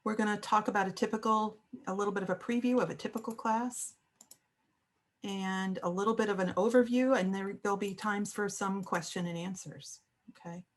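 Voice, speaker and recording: A woman's voice: mid-range voice, steady tone, brisk. Chatty woman professor on a video call. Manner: chatty, friendly, lots of fillers. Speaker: education professor. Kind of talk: online class lecture.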